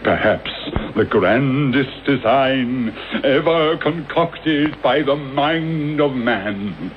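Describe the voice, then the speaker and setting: An actor with a British accent playing a mad, vengeful, gloating villain in a theatrical, wordy radio drama style. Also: male voice, mid-range voice, animated, very slow. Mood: gloating, mock-mournful. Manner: theatrical, sardonic, elaborately wordy. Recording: dull, noisy old radio broadcast recording.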